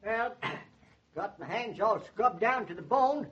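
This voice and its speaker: Quirky old New England farm neighbor, high husky voice, folksy and proud, vintage radio drama. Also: male voice, expressive, slow pace, full character voice. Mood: eager, folksy. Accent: rural New England Yankee. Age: older. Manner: rustic, drawling hesitations and homespun phrases. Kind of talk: 1940s radio drama acting. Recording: dull, band-limited 1940s radio recording.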